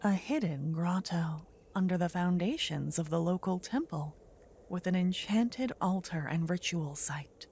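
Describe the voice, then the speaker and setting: A woman gives scripted second-person fantasy narration for ASMR in a soft, hushed, partly whispered voice, with measured storytelling. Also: lowish voice, a little hiss or hum.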